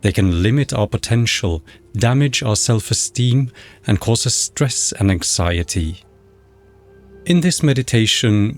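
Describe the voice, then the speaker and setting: A man narrating a guided meditation in a measured, instructional, scripted way. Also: on the low side. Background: background music.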